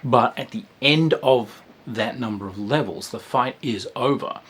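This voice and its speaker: A man giving a casual, rambling review of a tabletop game, with a wry, dark humour and plenty of fillers and restarts. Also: medium pitch, measured pace, breathy edge.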